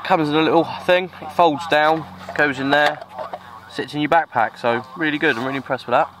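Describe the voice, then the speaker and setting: A man's voice: fairly high pitch, fairly even intonation, a little fast. Man vlogging outdoors, noisy audio. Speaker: vlogger, CB radio hobbyist. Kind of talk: casual outdoor vlog narration. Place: open hillside under trees, outdoors. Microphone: handheld camera microphone.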